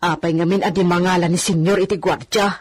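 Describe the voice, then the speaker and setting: A woman's voice: on the low side, fairly even intonation, fast, a little mellow, slightly rough. A woman playing a worried, outspoken hacienda servant in a radio drama, with a fretful, complaining way of talking. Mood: worried, critical. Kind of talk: Ilocano radio drama acting.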